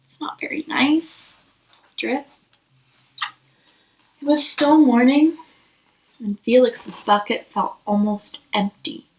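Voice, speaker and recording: A woman's voice: sits high, takes it slowly, pauses often, a little mellow. A woman reads a children's picture book aloud expressively, putting questions to young listeners. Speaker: storybook reader. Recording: echoey room.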